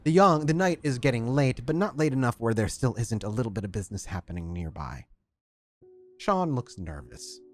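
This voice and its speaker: A man's voice: medium pitch, lively intonation, moderate pace. A man narrating as storyteller of a tabletop game, setting scenes in detail.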